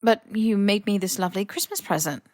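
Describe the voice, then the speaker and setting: A woman's voice: mid-range voice, a little fast, darkish tone, no room sound, clean studio sound, close to an everyday voice. A woman voicing a stubborn, teasing young male wizard in his twenties, casual and cheeky, for an audiobook. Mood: playful, insistent. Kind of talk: audiobook character voice.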